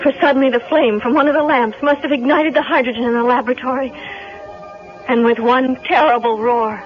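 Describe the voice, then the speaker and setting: A woman's voice: high-pitched, fairly even intonation, fairly quick, faint music behind, lightly acted. An actress playing a young woman who has been through an ordeal, in 1970s radio drama style. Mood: dramatic, shaken. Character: young woman heroine recounting a terrible ordeal. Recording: muffled vintage radio broadcast recording.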